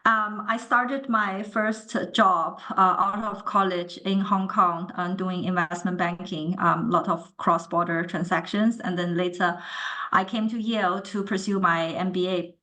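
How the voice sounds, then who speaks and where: A woman in her thirties from China, a webinar panelist on a video call, speaking in a measured way with many "um" fillers. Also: fairly low pitch, fairly even intonation, sharp tone, low-quality recording. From China (Nanjing).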